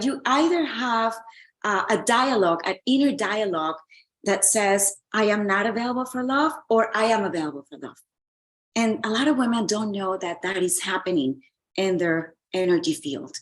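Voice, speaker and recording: Woman from Colombia, relationship coach and author, earnest and encouraging interview guest, mid-pitched. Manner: earnest, encouraging, repeats words while finding them.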